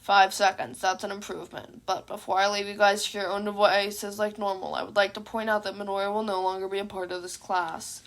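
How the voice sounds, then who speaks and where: A lazy male teacher played by a woman, deadpan and drawling. The voice is dropped well below the narrator's own, dry and weary, for an audiobook story. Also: mid-range voice, a little fast, few pauses, pitched below the performer's own voice, natural voice. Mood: bored, flat. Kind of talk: fan fiction audiobook character voice.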